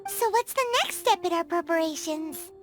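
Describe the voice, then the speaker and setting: Game fairy sidekick: very high, squeaky, childlike, excitable, highly animated. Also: female voice, moderate pace. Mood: curious. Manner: refers to self by name, exclamatory. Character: small excitable fairy companion girl, chirpy and curious. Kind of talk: video game cutscene dialogue. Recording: music behind the voice.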